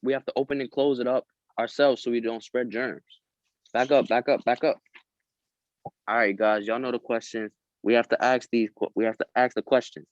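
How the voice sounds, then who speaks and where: A man's voice: medium pitch, fairly even intonation, normal speed, dry recording. A man at a table read over a video call, reading script lines and coaching others between them. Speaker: script reader and prompter. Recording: dry, slightly dull call audio.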